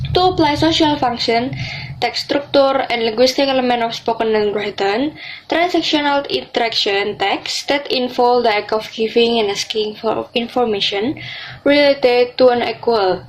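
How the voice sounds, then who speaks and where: A woman's voice: on the high side, slight background noise, poor audio. A teenage young woman with an Indonesian accent, explaining things as a student podcast member.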